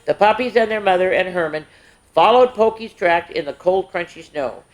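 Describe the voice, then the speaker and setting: A woman hosting story time, reading aloud to young children in an expressive way with little asides. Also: fairly low pitch, normal speed, rough recording.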